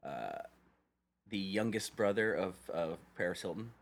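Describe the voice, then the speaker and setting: A podcast host with a lowish male voice. He rambles through a story, stopping and restarting as he goes, in a casual chat. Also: big pitch swings, unhurried, creaky.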